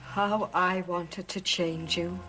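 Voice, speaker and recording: Witches' daughter: wounded but defiant, slow dramatic delivery, gothic soap with music underneath. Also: lowish voice, fairly even intonation, sharp tone, poor audio.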